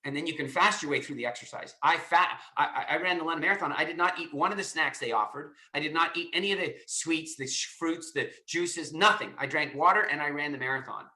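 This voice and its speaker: A man's voice: on the high side, fast talker, few pauses, pushed and bright, echoey room, rough recording. A man hosting a live call, answering a caller in an emphatic way, often repeating himself and restarting his sentences. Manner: emphatic, repetitive, many restarts. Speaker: host. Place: lives in Estonia.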